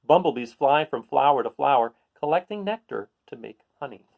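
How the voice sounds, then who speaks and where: A man's voice: medium pitch, animated, a little fast, gravelly, narrow, tinny audio. A man hosting a read-aloud, reading a children's picture book and adding casual, explanatory asides for young listeners.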